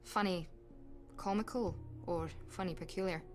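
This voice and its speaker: An actress playing a dry, firm member of school staff in a video game, with a wry, clipped way of talking. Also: female voice, on the low side, normal speed, music in the background, natural voice. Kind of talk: video game cutscene acting.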